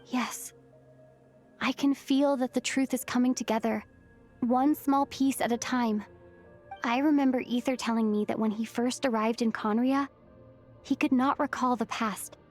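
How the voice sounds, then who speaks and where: A woman's voice: a little high, fairly even intonation, measured pace, darkish tone. A woman voicing an earnest, thoughtful young female traveler in a video game cutscene, with a sincere, pensive delivery. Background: background music.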